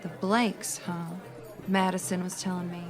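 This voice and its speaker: A woman's voice: on the low side, little pitch movement, unhurried, natural voice. An actress playing a worldly, feisty Old West dance hall girl, frank and plainspoken, in a radio western parody. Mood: consoling. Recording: music and crowd chatter behind. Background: saloon music and crowd.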